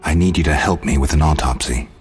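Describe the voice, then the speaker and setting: An actor playing a stoic, gruff monster hunter turned investigator in a video game, terse and flat in delivery. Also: male voice, very deep voice, fast talker, soft background music. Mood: matter-of-fact.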